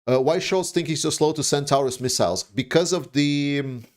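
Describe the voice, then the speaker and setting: A man from Denmark hosting a livestream, answering viewer questions in casual, blunt commentary, haltingly and repeating words for emphasis. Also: on the high side. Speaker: livestream host.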